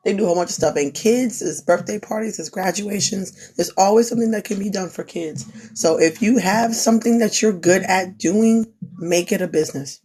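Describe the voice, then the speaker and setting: A woman's voice: medium pitch, darkish tone, slightly rough. A young woman who is losing her voice gives an earnest, repetitive pep talk on a live stream. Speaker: small business owner, crafter. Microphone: phone.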